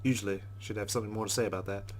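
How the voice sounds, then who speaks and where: A man's voice: lowish voice, steady tone, very fast. A man who hosts a let's play, reading story narration aloud in a wry, conversational way with casual asides.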